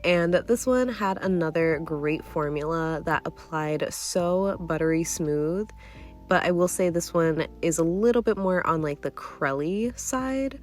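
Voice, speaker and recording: A young woman with a nasally congested voice, casually reviewing nail polish in a chatty, candid way. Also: deep voice. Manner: chatty, candid, hedging with fillers. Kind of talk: casual product review vlog.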